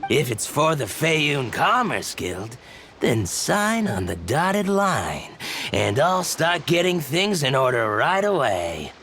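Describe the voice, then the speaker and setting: Guarded shady male dealer, unhurried, hugely varied intonation, game character. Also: a little high, big pitch swings, a bit bright, slight background noise, heavily acted. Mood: businesslike. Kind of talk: video game character acting.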